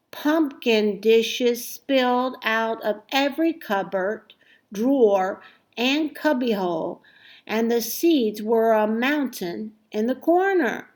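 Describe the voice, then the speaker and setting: A woman reading a children's story aloud expressively, with deliberate, emphatic phrasing and dramatic pauses. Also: fairly high pitch. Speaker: storybook reader.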